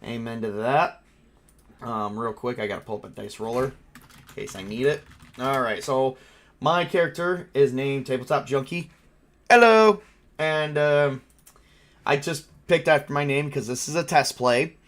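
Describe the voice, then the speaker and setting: A man's voice: mid-range voice, measured pace, fairly bright tone, a little hiss or hum. A man hosting a tabletop game livestream as game master, chatting informally in a rambling, mildly crude way. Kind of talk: casual livestream chat.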